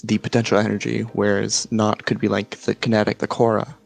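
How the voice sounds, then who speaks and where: A man talking as a guest in a casual explanatory chat, halting as he searches for his words. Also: lowish voice, monotone, gravelly, soft background music. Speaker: guest, series creator.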